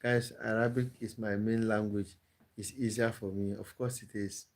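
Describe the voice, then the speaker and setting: A man's voice: on the low side, little pitch movement, moderate pace. A man hosting a casual live stream, reading viewers' comments aloud and answering them with easy laughter.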